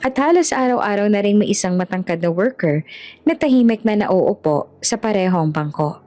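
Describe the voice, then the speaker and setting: A woman's voice: mid-range voice, animated, moderate pace, warm tone. A woman reading a scripted romance story as the narrator of an audiobook. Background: background music.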